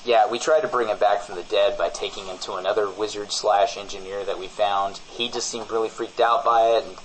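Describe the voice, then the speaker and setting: A man acts out a shady businessman in an improvised comedy show. His voice is on the low side and his delivery is deadpan, casually menacing and full of evasive backtracking, over noticeable background noise. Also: natural voice, low-quality recording. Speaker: podcast co-host playing a character. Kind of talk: improvised in-character comedy banter.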